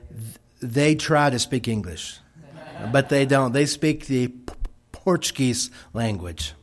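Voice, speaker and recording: A middle-aged man with a Texas accent, a missionary telling a church congregation about his work and answering questions in a folksy, halting way with many restarts. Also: mid-range voice, expressive.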